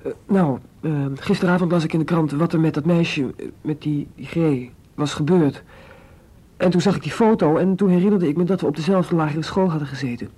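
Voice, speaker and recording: Husky, nervous teenage schoolboy. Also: male voice, on the high side, a little fast, dark tone. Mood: hesitant, polite. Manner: hesitant, stammering, defensive. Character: nervous, evasive seventeen-year-old schoolboy under questioning. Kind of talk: radio drama acting.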